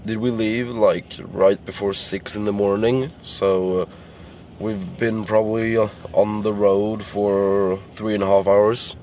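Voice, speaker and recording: A tired young man with a hoarse, worn-out voice, chatting casually to the camera in a rambling, halting way. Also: fairly low pitch, little pitch movement, a little mellow, a little hiss or hum. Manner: rambling, halting with many fillers. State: hoarse, lost voice. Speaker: vlogger. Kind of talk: casual vlog chat. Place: in a car.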